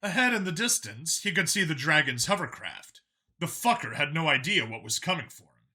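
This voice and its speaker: Bright, forceful male story narrator. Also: fairly high pitch, very animated, brisk, husky. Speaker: audiobook narrator. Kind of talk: scripted dramatic story narration.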